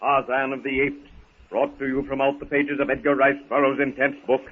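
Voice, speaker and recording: A man's voice: medium pitch, little pitch movement, a little fast. A man narrating a jungle adventure serial in florid, theatrical 1930s radio style. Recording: tinny, hissy 1930s radio recording.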